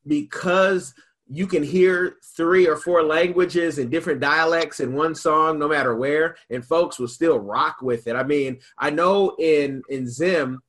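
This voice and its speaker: A man's voice: fairly high pitch, unhurried, fairly bright tone. A man with an American accent hosting a casual interview, asking long, rambling questions in a conversational way. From United States. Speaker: interview host.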